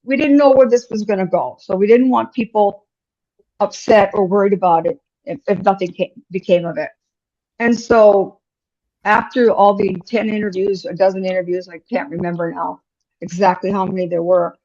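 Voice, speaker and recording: A woman's voice: medium pitch, normal speed, a little mellow, muffled recording. A woman in her sixties, a business owner telling her story in an interview in a frank, direct and chatty way. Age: 60s. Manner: frank and direct, chatty, acts out quoted dialogue.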